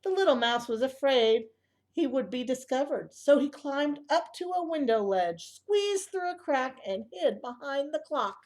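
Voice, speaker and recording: Higher-voiced woman reading to young children. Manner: expressive, child-directed, pausing to ask listeners questions. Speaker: early childhood storyteller reading a picture book aloud. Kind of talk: storybook read-aloud for young children with asides.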